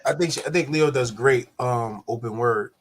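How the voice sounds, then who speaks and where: A man's voice: mid-range voice, normal speed, very noisy. A man chatting casually as a podcast co-host, asking questions and commenting. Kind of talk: casual chat.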